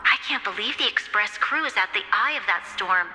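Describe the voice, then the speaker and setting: An actress playing a dignified, resolute leader in a video game, speaking formally and earnestly. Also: female voice, medium pitch, animated, fairly quick, sharp tone, long reverb tail, soft background music, low-quality recording.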